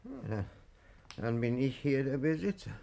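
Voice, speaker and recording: An actor playing a sick, confused owner of a country estate in a 1950s German radio play, halting and repeating himself in a gravelly voice. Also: male voice, medium pitch, very animated, warm tone. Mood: confused, testy. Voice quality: gravelly, creaky. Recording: 1950s radio drama recording.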